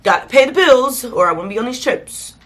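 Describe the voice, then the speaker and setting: A woman's voice: on the high side, lively intonation, brisk. A woman vlogger talking casually to her viewers, her voice stuffy and congested from a cold. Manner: chatty, informal, addresses viewers as y'all. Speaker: vlogger host. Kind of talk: casual vlog chat to camera.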